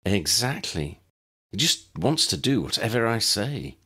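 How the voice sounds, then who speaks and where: A man's voice: lowish voice, sing-song, measured pace, pushed and bright, breathy edge, voice pushed up, full character voice. A man voicing an exasperated, teasing but friendly teenage girl prefect in an audiobook. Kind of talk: audiobook character voice.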